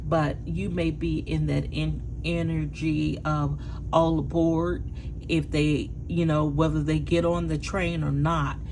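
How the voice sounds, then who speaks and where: A woman with a hoarse, fading voice who gives a casual tarot reading in a halting way, with fillers and restarts. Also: low-pitched, level tone, darkish tone, slight background noise. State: hoarse, losing her voice. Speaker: tarot reader.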